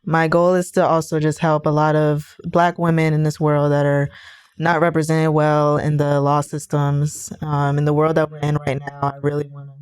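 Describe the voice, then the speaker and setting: A woman's voice: low voice, completely flat delivery, moderate pace, darkish tone, clean tone, dry recording. A young woman from Pittsburgh, a college student guest, speaking earnestly and steadily. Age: young adult. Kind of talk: casual interview.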